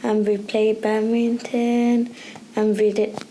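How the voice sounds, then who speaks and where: A woman's voice: fairly high pitch, level tone, slow, mellow, a little hiss or hum. A young woman from India sharing her experience casually in simple, short phrases. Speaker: youth programme participant. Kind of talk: casual personal testimony.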